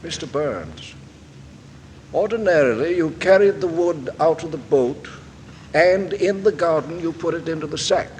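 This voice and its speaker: Coroner, 1940s radio drama. Polite but relentless, fairly high voice, faintly hissy old recording. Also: male voice, animated, darkish tone, in a large hall, poor audio. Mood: probing. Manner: formal, probing, deliberately polite. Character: persistent, courteous male coroner questioning witnesses at an inquest.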